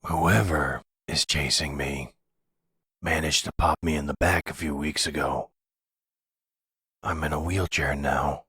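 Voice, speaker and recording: Man acting as a very deep, husky, weary mafia exile. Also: sharp tone, no room sound, studio quality, heavily acted. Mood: weary, resigned. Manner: resigned, slow, brooding. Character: weary, bitter exiled mafia father on the run. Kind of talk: ASMR audio roleplay drama.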